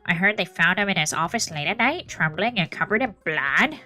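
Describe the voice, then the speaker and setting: A woman reading the lines of a chatty young man who writes a gossip newsletter, in a breezy, lively manner. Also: lowish voice, fairly quick, faint music behind. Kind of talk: reading visual novel dialogue aloud.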